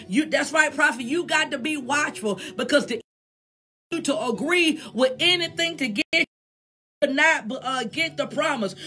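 An American woman delivers a sermon in a high-pitched voice with a sharp, bright edge. She is emphatic and exhorting, pressing her points home with repeated refrains. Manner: emphatic, urging, repetitive refrains. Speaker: preacher.

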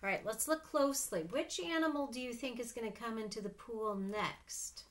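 A middle-aged woman reading a picture book aloud to children, expressive and sing-song, with casual asides. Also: fairly high pitch, normal speed. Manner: expressive, sing-song, asking the listeners questions. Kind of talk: animated read-aloud storytelling with casual asides. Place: small room at home with sloped ceiling.

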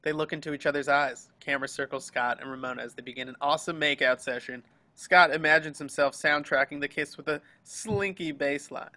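A man reads screenplay action lines as the narrator of a table read. His voice is bright and forceful, pitched a bit above average for a man. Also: fairly even intonation, brisk, hardly any pauses. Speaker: narrator reading action descriptions. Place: online group video call.

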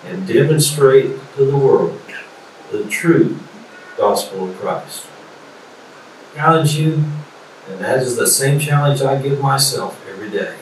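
Echoey older man preaching from a distance. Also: medium pitch. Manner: earnest, exhorting, with deliberate repetition. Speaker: professor and preacher. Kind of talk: sermon, graduation address. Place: indoor study or office, video call. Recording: echoey video call, distant, some noise.

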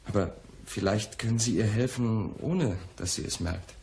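An actor in a radio play, playing an anxious, pampered young poet who pleads in a hesitant, agitated way and repeats himself. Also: male voice, on the low side, moderate pace, creaky, a little mellow. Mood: uneasy, pleading. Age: young adult.

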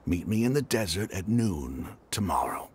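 An actor playing a cocky, hardened mercenary leader in a video game, taunting and deliberate in his delivery. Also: deep voice, very animated, slight background noise. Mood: grudging respect.

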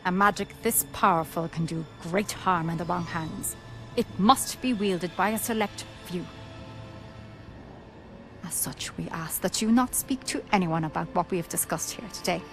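Game casting: cautious, formal female professor of magic, composed, lower register. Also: normal speed, a bit bright, soft background music. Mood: grave. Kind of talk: dramatic game cutscene dialogue.

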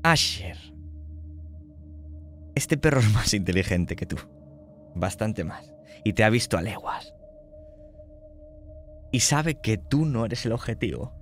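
A man running a tabletop horror game as game master, laying out options in a measured way and checking in often. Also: fairly low pitch, expressive, a bit bright, soft background music.

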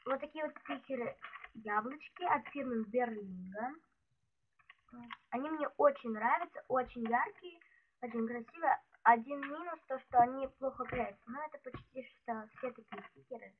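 High-voiced sick young woman, tinny audio. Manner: chatty, hesitant, many fillers. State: ill, voice affected. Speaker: vlogger showing stationery purchases. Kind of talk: casual show-and-tell. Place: indoors at a desk, hands on table. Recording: tinny phone-like audio with loud noise.

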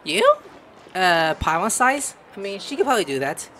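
A woman's voice: mid-range voice, wildly swooping pitch, moderate pace, fairly bright tone, slight background noise. A woman giving casual gameplay commentary, talking to the game with sudden exclamations and swearing. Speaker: gaming streamer. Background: game music.

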